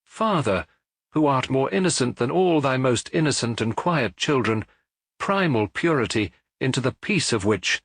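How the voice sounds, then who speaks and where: A man's voice: mid-range voice, animated, moderate pace, fairly bright tone, no echo, studio quality, pitched above the performer's own voice. A man voicing a fanatical sun-worshipping priest in an audiobook, chanting a litany in a solemn, rapturous declamation. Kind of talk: audiobook character voice, archaic prayer.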